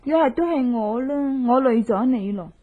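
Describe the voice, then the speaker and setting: A woman's voice: a little high, expressive, narrow, tinny audio. An actress playing a determined young avenging woman who coaxes sweetly, in Hong Kong Cantonese radio drama style. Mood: coy, coaxing. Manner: coaxing, theatrical, drawn-out sentence particles.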